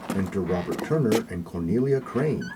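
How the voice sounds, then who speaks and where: A man's voice: low-pitched, animated, normal speed, heavy background noise. A man reading scripted narration and stage directions for a radio play.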